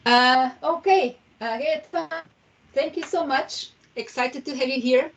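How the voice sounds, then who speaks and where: A woman hosting an online meetup, introducing a guest speaker in a friendly, halting way with many fillers and restarts, speaking through a headset on a video call. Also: high voice. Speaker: meetup host. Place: home room, video call. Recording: distant, dull video call audio.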